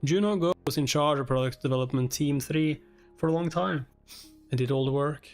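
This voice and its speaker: Higher-pitched man reading and reacting, music behind. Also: brisk. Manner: informal, swears casually. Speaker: livestreamer reading a webtoon aloud and reacting. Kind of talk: casual live reaction and read-along, chatting with viewers. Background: background music.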